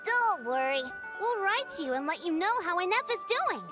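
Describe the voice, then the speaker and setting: A tiny, excitable companion sprite in a video game, chattering with bubbly energy. The voice is very high and swoops around a lot. Game music plays clearly underneath. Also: female voice, moderate pace. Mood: chatty. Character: tiny chirpy non-human companion sprite. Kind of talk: game voice acting. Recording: game dialogue over music. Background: game score music.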